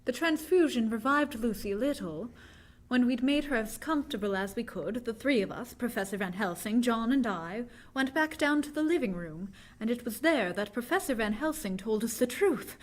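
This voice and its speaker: A woman portrays an anxious young heroine in an old-time radio play. Her fairly high voice is earnest and dramatic, moving animatedly up and down. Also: animated, moderate pace, natural voice. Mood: grave narration. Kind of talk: vintage radio drama acting and narration.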